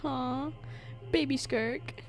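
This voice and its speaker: A woman's voice: high voice, extremely slow, gravelly, faint music behind. A giggly, playful woman livestreamer casually reacting to a video game as she plays. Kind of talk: casual reactions and commentary to gameplay.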